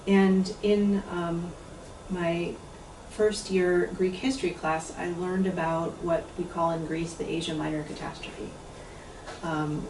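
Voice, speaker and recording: A woman in her fifties gives a reflective talk about her translation, her voice low and gravelly with a measured pace and frequent pauses. She is picked up from some distance in an echoey lecture room, with a faint hiss of noise underneath. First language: English. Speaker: author and translator giving a talk. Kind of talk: book talk with poetry reading.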